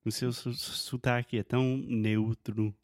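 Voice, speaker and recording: American guy hosting a podcast in learner portuguese, mid pitch, dry close sound. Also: flat delivery, measured pace, a little mellow, no room sound. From United States. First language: English. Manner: speaks Portuguese as a learner, with restarts and questions about words. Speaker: podcast host. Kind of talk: casual conversation.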